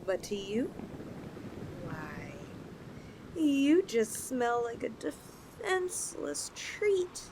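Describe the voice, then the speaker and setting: A woman's voice: high-pitched, sing-song, extremely slow, long pauses, noisy background, full character voice. A woman voicing a possessive, flirtatious lamia in a roleplay ASMR style, coaxing her chosen mate with sweet condescension. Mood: teasing, ominous.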